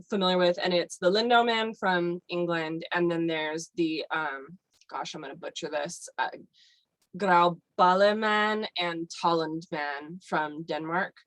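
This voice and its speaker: A woman explains a subject on a podcast, slightly low in pitch. She rambles with plenty of fillers and restarts. Her voice sits dry and close with no room around it. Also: a bit bright, no echo, low-quality recording. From United States. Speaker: guest. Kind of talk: casual podcast chat.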